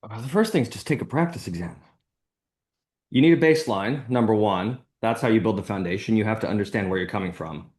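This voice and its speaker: Low-voiced man giving blunt tutoring advice. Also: a little fast. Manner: relaxed, blunt, many "you know" fillers. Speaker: test-prep tutor. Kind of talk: casual chat, giving advice.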